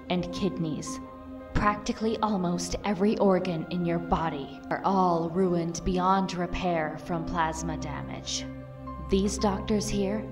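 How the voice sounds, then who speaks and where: A woman's voice: on the low side, distant mic. A woman playing a blunt, composed lieutenant in an audio drama, speaking formally and matter-of-factly. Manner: formal, measured, matter-of-fact. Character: blunt, composed female lieutenant recruiting for a program. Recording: off-mic voice under music.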